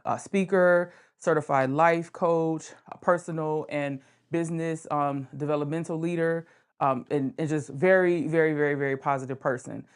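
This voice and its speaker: A woman with a low-pitched voice speaks as a podcast host. Her delivery is informal and conversational, halting at times, with words repeated for emphasis. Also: fairly even intonation, clean tone.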